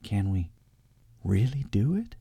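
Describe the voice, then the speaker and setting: A man voicing a timid, needy grown son in a comic story, speaking softly and hesitantly. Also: lowish voice, very animated, slow, vocal fry, breathy edge, no room sound, studio quality, exaggerated voice. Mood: fearful hope. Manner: soft, hesitant, stammering.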